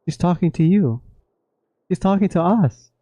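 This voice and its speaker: A man's voice: high-pitched, animated, moderate pace, dark tone, breathy edge, no room sound. A man doing casual live game reaction commentary, chatting playfully with his viewers. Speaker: game streamer.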